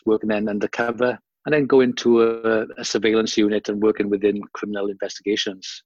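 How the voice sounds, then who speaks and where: A middle-aged man with a Welsh accent, a podcast guest telling his career story in a relaxed, conversational way. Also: medium pitch, no echo. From South Wales. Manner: conversational, with fillers and restarts, recounting a career at length. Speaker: guest, former police officer. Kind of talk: interview storytelling. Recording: dry, dull lo-fi audio.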